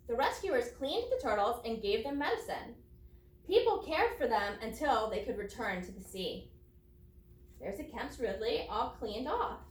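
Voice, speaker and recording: High, lively woman teacher reading aloud. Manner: expressive, simple explanatory talk for young children. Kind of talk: children's non-fiction read-aloud with teaching commentary. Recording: roomy echo, distant microphone.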